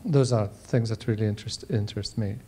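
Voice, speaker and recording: A man in his sixties answering on a panel in measured, halting phrases, posing questions one after another. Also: fairly low pitch, dark tone, breathy edge. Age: 60s. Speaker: panel member, ocular oncologist. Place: large auditorium stage. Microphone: handheld microphone.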